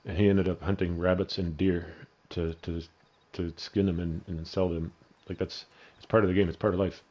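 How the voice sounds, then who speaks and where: A man's voice: deep voice, little pitch movement, normal speed, highs cut off. A middle-aged man with a Canadian accent, rambling and swearing through casual commentary while playing a video game. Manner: rambling and profane. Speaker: gaming video host.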